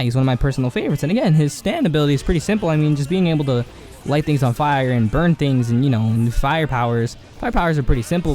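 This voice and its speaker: A man giving a casual anime commentary, rambling and slangy with lots of filler words, his voice hoarse and cracking. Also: medium pitch, darkish tone. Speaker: video essay host.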